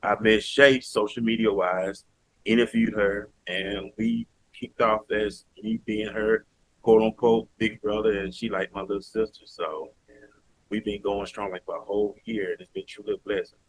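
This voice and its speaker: A man's voice: on the low side, flat delivery, normal speed, low-quality recording. A man from Alabama with a Southern accent, talking earnestly and emphatically as a podcast guest. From Mobile, Alabama, US. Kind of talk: casual interview talk.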